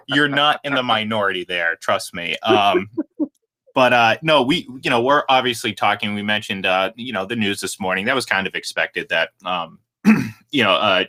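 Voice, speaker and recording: A man hosting a sports talk radio show, energetic and rambling, who laughs easily. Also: lowish voice. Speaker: radio host.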